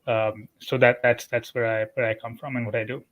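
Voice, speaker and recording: A man's voice: medium pitch, level tone, brisk, fairly bright tone. An Indian man with an Indian accent introducing himself on a panel, slightly hesitant. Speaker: panelist, writer and editor. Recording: dull lo-fi online call.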